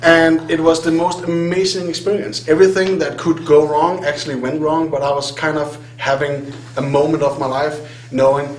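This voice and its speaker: A middle-aged man from Denmark with a Danish accent, speaking on a hypnotherapy conference panel in an animated, self-deprecating way, laughing often. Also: on the high side, moderate pace, few pauses. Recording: roomy hall sound, faint hum.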